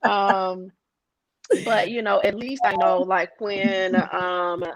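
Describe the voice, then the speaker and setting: A woman guest with a soft voice, talking in a hesitant conversational way with many fillers and restarts. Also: medium pitch, level tone, takes it slowly, over a noisy crowd, poor audio. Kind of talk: conversational interview.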